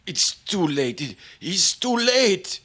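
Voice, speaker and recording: A man playing a secretive, haughty older scientist in an old-time radio drama, speaking formally and haltingly with theatrical intensity. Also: sits high, sing-song, pushed and bright, heavily acted. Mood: desperate, terrified.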